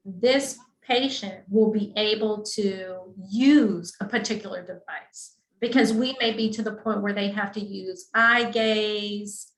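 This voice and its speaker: A woman's voice: medium pitch, a bit bright. Woman, speech therapist, explanatory webinar talk, patient teacherly manner, echoey room. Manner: patient, teacherly, checks in with "okay?". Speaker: speech-language pathologist.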